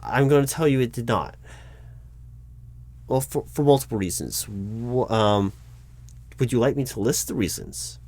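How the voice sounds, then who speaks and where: A man doing a roleplay as a job interviewer, talking in a deliberate, dry way with frequent ums. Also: medium pitch, a little mellow. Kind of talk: scripted ASMR roleplay.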